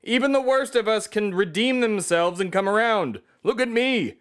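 A man's voice: high voice, expressive, moderate pace, smooth. A man playing America itself as a bombastic, self-important patriotic orator on a satirical comedy podcast. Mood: impassioned speechifying. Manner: pompous, declamatory oratory.

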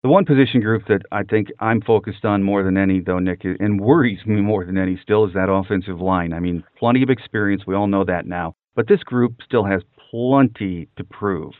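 A man's voice: on the low side, moderate pace, hardly any pauses, no room sound. A man hosting a sports podcast, chatting casually as he interviews a guest. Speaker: podcast host. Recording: tinny, dry, phone-like radio sound.

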